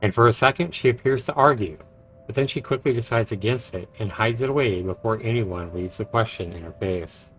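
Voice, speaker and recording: A man's voice: lowish voice, fairly quick, a bit bright, slight background noise, low-quality recording. A man who streams games, reading visual novel narration aloud and reacting to it. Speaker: game streamer. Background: game music.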